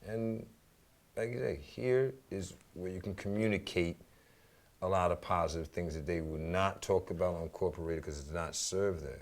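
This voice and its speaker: A man with a very low, gravelly voice and a New York accent. He talks casually as a guest in an interview, rambling along in slangy phrases and sprinkling in "you know" and "man". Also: normal speed. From New York City. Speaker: DJ and music teacher, radio show guest.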